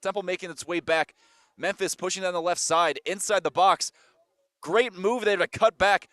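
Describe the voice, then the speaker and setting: A man calling play-by-play for a college soccer match, with a slightly hoarse voice, rattling off the action and getting louder and higher when attacks build. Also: steady tone, dry recording. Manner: rapid running call that jumps up in pitch and volume on attacks. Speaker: play-by-play commentator. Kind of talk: live soccer play-by-play commentary.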